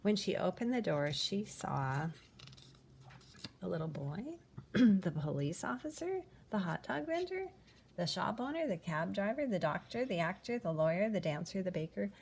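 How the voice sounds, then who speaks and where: A woman reading a children's picture book aloud in an expressive, animated way, with dramatic pauses. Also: medium pitch, slight background noise. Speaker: storybook reader.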